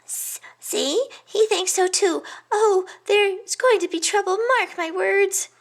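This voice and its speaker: A woman doing a squeaky, fretful porcupine. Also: slow, vocal fry, full character voice.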